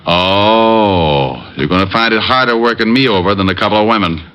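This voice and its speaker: An actor playing a wry American private detective in an old radio crime show, with deadpan, wisecracking delivery. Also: male voice, lowish voice, moderate pace, clear, resonant tone, close to an everyday voice. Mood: defiant. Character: wry, hard-boiled male private detective. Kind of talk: 1940s-50s radio detective acting and narration. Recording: muffled old-time radio recording.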